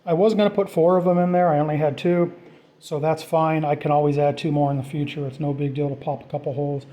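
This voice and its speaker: Male DIY vlogger, slightly high pitch but soft, dark-edged tone, informal thinking-aloud delivery. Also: fairly even intonation, quick pace. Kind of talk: casual off-the-cuff how-to narration.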